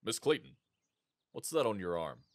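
A man's voice: on the low side, sing-song, moderate pace, dry recording, clean studio sound. An actor playing a stern, suspicious male army sergeant in a full-cast audiobook, speaking curtly and coldly. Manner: curt, cold, commanding.

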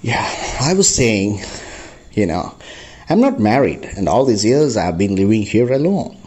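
Radio drama role: lonely old crofter, acted by a man, very husky, swooping, chatty and hospitable. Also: fairly low pitch, big pitch swings, unhurried, warm tone, exaggerated voice. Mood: welcoming. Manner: effusive, chatty, repeats himself. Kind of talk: amateur radio drama acting.

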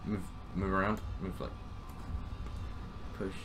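A man's voice: deep voice, little pitch movement, slow pace, over music. A young man giving casual, exclamatory gameplay commentary, swearing and repeating short phrases. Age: young adult. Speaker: gamer, let's play host. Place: small indoor room. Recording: clear game noise behind. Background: horror game ambience.

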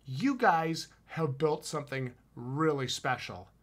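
Bright-voiced enthusiastic Wisconsin man. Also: a little high, animated, slow pace. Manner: enthusiastic, emphatic, slangy. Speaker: podcast co-host reviewing haunted houses. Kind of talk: casual review chat. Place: home room with shelves, indoors. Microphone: headset with boom mic on video call.

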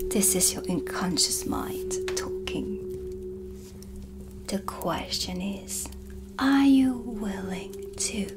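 A dark witch acted by a woman whispers close to the mic in a cinematic ASMR roleplay. She is brooding and blunt, drawing out her words extremely slowly with ominous pauses. Music plays clearly behind her breathy voice. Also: mid-range voice. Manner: slow, deliberate, ominous, with pauses. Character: dark witch, brooding, blunt, mysterious tea-reading hostess. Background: ambient music.

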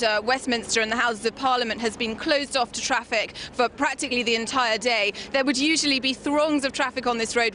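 A woman reports live from an outdoor street at night, speaking into a handheld mic. Her voice is bright and slightly high, and she talks fluently with frequent fillers and self-corrections. Faint city noise sits behind her. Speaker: field reporter.

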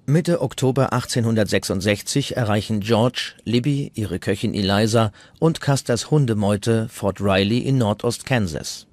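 A man's voice: lowish voice, normal speed, few pauses, a little mellow, no echo. A man narrating a historical documentary from a script. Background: music.

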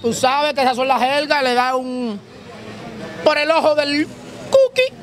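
A young man with a strikingly high voice brags in street slang. He speaks into an interviewer's handheld mic out on a city street. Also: animated, unhurried, a crowd in the background. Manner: boastful, slangy, playful bravado. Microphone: handheld mic held by interviewer.

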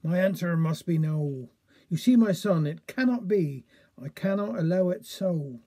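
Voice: mid-range voice, animated, unhurried, mellow. A reader voicing a stern, awkward father in a hesitant, confiding way, in a comic verse recital.

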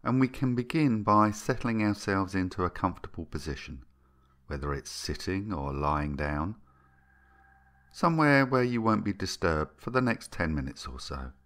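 A man narrating a guided meditation in a soothing, gentle and unhurried manner, leaving long pauses between phrases. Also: deep voice, lively intonation. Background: background music.

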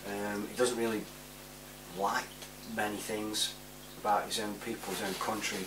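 A man's voice: on the low side, flat delivery, normal speed. A man in his forties talking casually to camera, rambling in a matey, informal way. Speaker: amateur video presenter. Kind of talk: casual chatty shout-outs to camera. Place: small living room, indoors. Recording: roomy, distant mic with hum.

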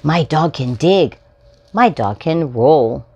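Woman, 40s, storytime host for preschoolers. Low register, very lively intonation, simple phrases. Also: breathy edge, lo-fi sound. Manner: simple short phrases, deliberately spaced for preschoolers. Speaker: children's storytime host and reader. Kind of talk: children's picture book read-aloud. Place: small furnished room at home, indoors. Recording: home recording with faint background noise.

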